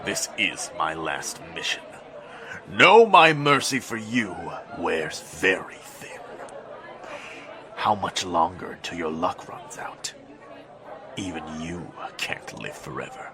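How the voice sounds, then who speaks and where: A man's voice: low-pitched, very animated, slow pace, crowd noise, exaggerated voice. A man playing a proud, resentful king of an undersea realm in an audio drama, speaking in a haughty, formal way. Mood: cold, threatening. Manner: haughty, formal, stately speech.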